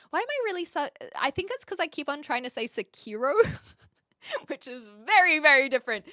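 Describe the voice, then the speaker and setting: Bright, posh Kiwi woman streaming. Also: high voice, dry recording, studio quality. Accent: posh New Zealand. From New Zealand. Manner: chatty, expressive, giggly. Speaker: livestream host. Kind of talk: casual livestream chat, answering viewer comments.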